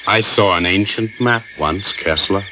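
A man's voice: lowish voice, measured pace, bright, forceful tone. An actor playing a stubborn, paranoid, ominous man on a space expedition in a radio drama. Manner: ominous, contrary. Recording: muffled, noisy 1980s radio recording.

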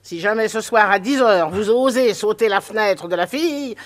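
A woman's voice: expressive, moderate pace, close to an everyday voice. A woman playing a prim, nosy old spinster. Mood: scolding. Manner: shrill, prudish and scolding. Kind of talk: French radio drama.

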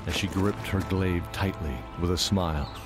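A man's voice: deep voice, fairly even intonation, moderate pace. A man narrating a fantasy audio drama in a dramatic storytelling style, with music behind.

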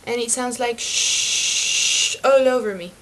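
Excitable young woman, bedroom webcam. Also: fairly high pitch, slow pace, rough recording. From Venezuela. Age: young adult. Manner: chatty and excitable, laughs at her own slips. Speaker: book vlogger. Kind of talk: casual chat to camera. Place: small bedroom, indoors. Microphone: webcam mic.

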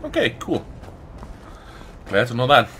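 A man's voice: mid-range voice, huge pitch swings, measured pace, a bit bright, slight background noise. A man doing casual gameplay commentary, muttering to himself in short bursts. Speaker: let's play streamer.

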